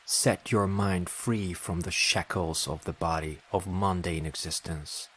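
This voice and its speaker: A man leading a guided meditation as narrator, speaking in deliberate short phrases with long pauses between them. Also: low-pitched, fairly even intonation, a bit bright, slight background noise.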